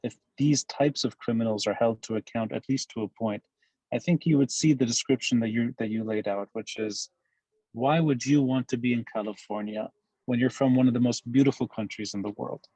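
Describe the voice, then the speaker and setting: Measured man with a dry close sound. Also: mid-range voice, fairly even intonation, warm tone, dry recording, rough recording. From Lebanon. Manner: measured, emphatic analytical speech. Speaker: guest commentator. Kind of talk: podcast interview.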